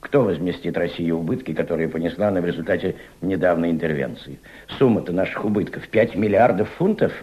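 An actor playing an impassioned senior Soviet diplomat in a radio drama, mentoring a young subordinate with emphatic, didactic rhetoric and deliberate repetition. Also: male voice, low-pitched, dull audio, close to an everyday voice. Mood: firm, instructive. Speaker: actor in an audio play.

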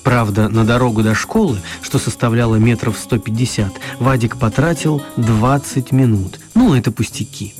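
A man narrating a children's radio play as an expressive, mock-serious storyteller. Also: mid-range voice, normal speed, few pauses, soft background music.

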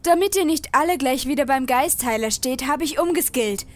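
A woman's voice: sits high, lively intonation, normal speed. A woman voicing an eager, spirited female shaman adventurer in a fantasy game parody audio drama. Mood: earnest.

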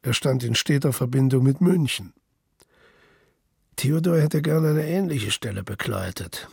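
Husky, gravelly man reading a story. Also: medium pitch, expressive, measured pace, darkish tone, no echo, studio quality. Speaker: narrator in a radio play. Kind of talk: scripted literary narration.

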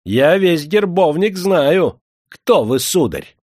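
A man's voice: fairly high pitch, sing-song, takes it slowly, a little mellow, no echo, clean studio sound, pitched above the performer's own voice, exaggerated voice. A man voicing a shabby, haughty nobleman from an old historical novel, with a bullying, indignant manner. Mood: shrill indignation. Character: shabby, haughty, shrill hereditary nobleman, fawning then furious.

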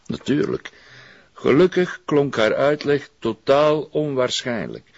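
An actor playing a guarded, formal middle-aged Flemish psychiatrist in a crime drama, speaking in a measured, evasive way. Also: male voice, medium pitch, expressive, gravelly, exaggerated voice. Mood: defensive, formal. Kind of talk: television crime drama dialogue. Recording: dull, echoey room sound.

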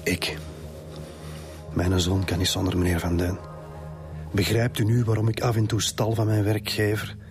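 A man's voice: fairly low pitch, level tone, moderate pace, long pauses, close to an everyday voice. An actor playing a weary, defensive middle-aged Flemish father in a radio drama. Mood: bitter, resigned. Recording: music under the dialogue.

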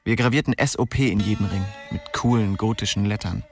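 A man's voice: fairly low pitch, fairly quick, natural voice. An actor playing a cocky, restless young man who narrates his story in a slangy, streetwise radio drama style. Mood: cool, boastful. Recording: music behind the voice.

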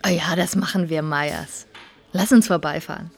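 A woman's voice: sits low, normal speed. A woman playing a restless, persuasive wife in a radio drama. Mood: coaxing. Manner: persuasive, insistent. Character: coaxing, restless wife out drinking at Christmas.

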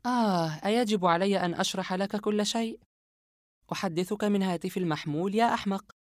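A narrator voicing a mocking young woman in a horror audiobook, scornful and cold as she confesses a dark secret. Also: medium pitch, normal speed, no room sound, clean studio sound, voice pushed up, close to an everyday voice.

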